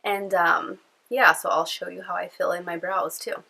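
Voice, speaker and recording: A young woman talks to the camera at home, her voice nasal and stuffed up from a cold. Her tone is bright and forward. She rambles casually with plenty of fillers. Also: lowish voice, steady tone, moderate pace, gravelly. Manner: chatty, rambling, lots of fillers. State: congested from a cold. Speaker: beauty vlogger. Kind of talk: casual chatty vlog. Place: at home indoors.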